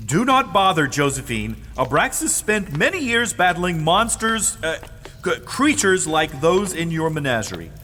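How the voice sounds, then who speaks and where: Prim, high-voiced, pompous scholar. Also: male voice, sing-song, unhurried, sharp tone, exaggerated voice. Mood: indignant. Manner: formal, pompous, precise wording. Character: prim, scholarly, indignant male historian. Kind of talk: audio drama acting. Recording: reverberant hall with music behind.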